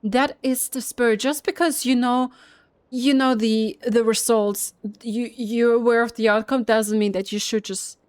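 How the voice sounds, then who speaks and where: A woman streaming a game playthrough with casual, chatty commentary, musing and halting as she goes. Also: on the high side, fairly even intonation, darkish tone, no room sound. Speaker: streamer, let's player. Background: game music.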